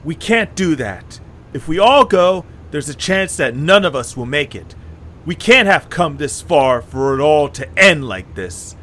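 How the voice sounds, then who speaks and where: An actor playing a hot-headed young leader in a fan audio drama, forceful and bossy. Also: male voice, a little high, big pitch swings, a little slow, exaggerated voice. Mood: commanding. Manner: forceful, commanding and angry.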